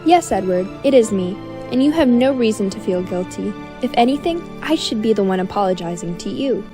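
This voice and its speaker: A woman playing a gracious, kindly female steam engine in a comic dub, polite and gentle. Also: mid-range voice, lively intonation, fairly quick, lightly acted. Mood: reassuring. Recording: loud music behind.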